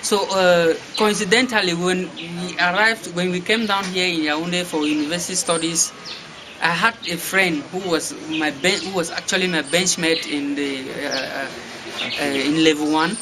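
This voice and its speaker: Cameroonian man, high-pitched, hesitant. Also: fairly even intonation, moderate pace. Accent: Cameroonian English. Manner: hesitant, many fillers and restarts. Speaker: guest. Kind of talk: interview answers. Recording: noisy background.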